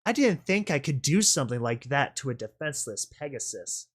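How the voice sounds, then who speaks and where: A man reading a first-person story aloud as a dramatic narrator, brooding and deliberate. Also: medium pitch, big pitch swings, breathy edge.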